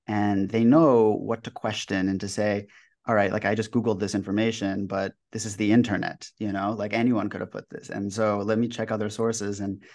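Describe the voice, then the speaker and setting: Young man chatting loosely on a video call. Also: on the low side. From United States. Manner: relaxed, loose, with many fillers and restarts. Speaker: guest author. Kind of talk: casual interview talk. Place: bright room at home.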